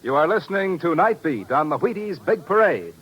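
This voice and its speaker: A man's voice: on the high side, big pitch swings, brisk. A man reading scripted credits as a formal radio announcer in the style of the 1950s. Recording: dull old radio recording, loud music.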